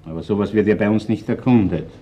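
An actor playing a gruff, shrewd middle-aged Viennese chief inspector in a radio crime drama, speaking bluntly and colloquially. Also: male voice, lowish voice, fast, warm tone, natural voice. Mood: brisk, commanding. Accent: Viennese Austrian. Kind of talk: German-language radio crime drama acting.

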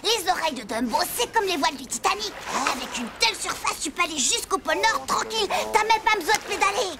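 Cartoon sidekick schoolboy: cocky, slangy, very high voice with lively swoops. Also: quick pace, over loud music. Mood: explaining slyly. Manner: know-it-all, slangy. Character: excitable, cocky young boy, hero's scheming best pal. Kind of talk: French animated series dubbing.

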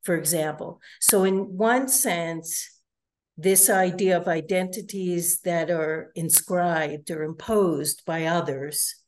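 Woman, lowish voice, interviewer, measured, lots of fillers, video call. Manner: measured, full of "you know". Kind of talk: conversational interview.

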